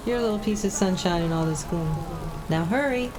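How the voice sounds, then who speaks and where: A woman playing an affectionate mother who gently reassures her little son in a motion comic. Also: mid-range voice, moderate pace, close to an everyday voice, low-quality recording. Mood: tender. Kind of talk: dramatic motion comic acting. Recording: very loud music behind.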